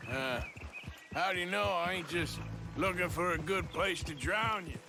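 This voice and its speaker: An actor playing a gruff, sardonic cowboy outlaw in a video game, with an American Western drawl and a dry, taunting delivery. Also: male voice, sits high, unhurried, noisy background. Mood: dry, threatening. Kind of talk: video game cutscene dialogue.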